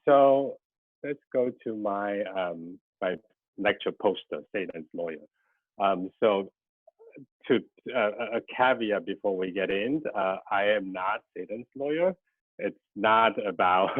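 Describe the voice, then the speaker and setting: Guest lecturer, 30s, native Mandarin speaker, very low voice, halting delivery, tinny dry call audio. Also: male voice, dry recording. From Taiwan. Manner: hesitant, many ums and restarts. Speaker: guest lecturer, graphic designer. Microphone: video call.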